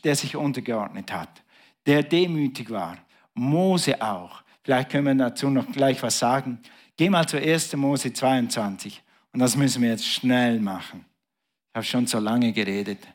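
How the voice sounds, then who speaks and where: A male pastor giving a sermon, swinging between loud, high bursts and quiet, hushed passages. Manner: preaching with big swings in pitch and volume, dropping to a hush.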